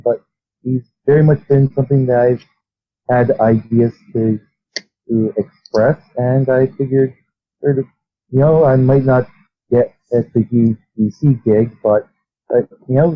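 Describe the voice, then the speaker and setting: A man's voice: mid-range voice, dry recording. A man, a guest writer, speaking hesitantly and modestly with many fillers in a casual interview. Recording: tinny phone-like line, dry.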